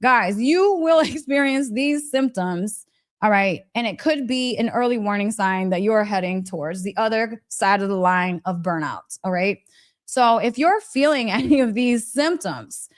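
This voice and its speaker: A woman giving a casual, motivational wellness talk as a live coach, conversational and emphatic, often checking in with her listeners. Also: fairly high pitch. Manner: conversational, emphatic, frequent check-in tags, breaks into laughter.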